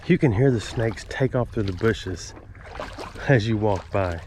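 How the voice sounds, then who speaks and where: Man, Southern accent, congested, low voice, folksy rambling, outdoor camera mic, clear background noise. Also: a little slow. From Alabama. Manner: rambling, self-amused, folksy. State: congested, stuffy nose. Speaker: creek explorer vlogger. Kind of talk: casual narration while exploring. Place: outdoors wading in a city creek.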